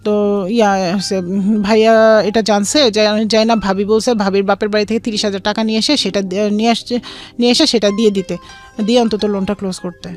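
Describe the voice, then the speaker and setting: A woman with a Bangladeshi accent recounts her own life as an interview guest. Her voice sits at an ordinary pitch, and she often quotes other people's words as direct dialogue. Music plays underneath. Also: steady tone, brisk. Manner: re-enacts conversations, quoting both sides. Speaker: guest telling life story. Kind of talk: personal storytelling in an interview. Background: background music.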